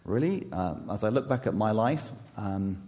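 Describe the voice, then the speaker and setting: Man, youth pastor, sermon, hoarse, halting with fillers, long church reverb. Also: lowish voice, animated. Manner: halting, many fillers and restarts, gentle self-deprecating humor. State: losing his voice. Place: church sanctuary.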